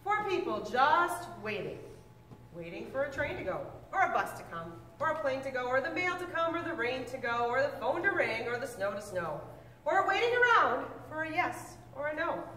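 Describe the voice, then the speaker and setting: A woman reading a picture book aloud with lively, expressive delivery, now and then stumbling and correcting herself. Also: normal speed, a little hiss or hum. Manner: expressive storybook reading with big pitch swings. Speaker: reader. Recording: echoey room, mic at a distance.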